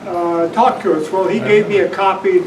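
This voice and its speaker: A man's voice: high-pitched, steady tone, moderate pace. A man, a club member, making informal remarks from away from the microphone in a meeting room. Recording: distant, echoey, noisy room pickup.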